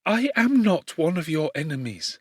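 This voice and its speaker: A man voicing a tender, distressed young gentlewoman in an audiobook, speaking in an imploring, emotional and earnest way. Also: on the high side, sing-song, normal speed, dry recording, clean studio sound, voice pushed up. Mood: gentle, earnest. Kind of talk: dramatic audiobook character reading.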